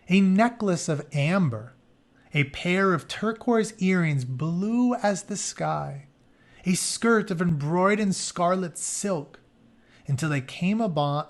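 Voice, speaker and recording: Higher-pitched man reading a story aloud. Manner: informal, explanatory. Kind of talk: expressive read-aloud with casual explanatory asides.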